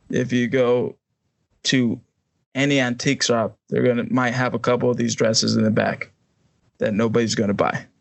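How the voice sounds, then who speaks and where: A man's voice: medium pitch, gravelly, no echo. A man co-hosting a podcast, giving opinions in casual conversation. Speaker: podcast co-host.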